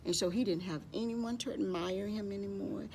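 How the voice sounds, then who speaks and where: A woman's voice: medium pitch, fairly quick, darkish tone, a little hiss or hum. A woman hosting a children's library storytime, speaking to young children as she tells stories and shows crafts, often referring to herself in the third person.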